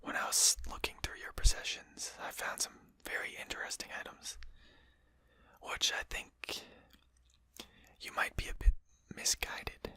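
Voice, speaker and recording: Casting: performer playing a genteel vampire host for ASMR roleplay, crisp bright whisper, formal, polite, deliberate. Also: dry recording. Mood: reasonable, explaining. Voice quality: breathy unvoiced whisper. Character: courteous, genteel vampire host lecturing a captured hunter.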